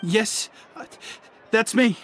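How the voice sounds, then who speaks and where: A man's voice: high voice, slow, very noisy. An actor playing a nervous, cowed henchman in a fantasy game, stammering and hesitant. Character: nervous, cowed underling confessing under questioning. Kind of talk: video game voice acting.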